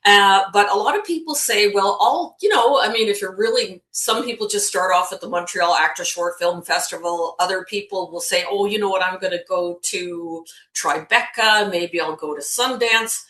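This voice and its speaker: A woman co-hosting a casual interview who teases her guest with animated exclamations. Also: medium pitch, moderate pace, reverberant, rough recording. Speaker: podcast co-host.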